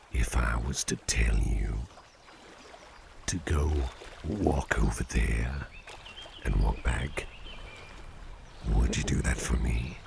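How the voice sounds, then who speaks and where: A man playing a calm, caring, playful businessman boss in a slow, intimate ASMR roleplay, speaking in a soothing, gravelly, very deep murmur. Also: very noisy, heavily acted. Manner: soothing, unhurried, repeats short phrases.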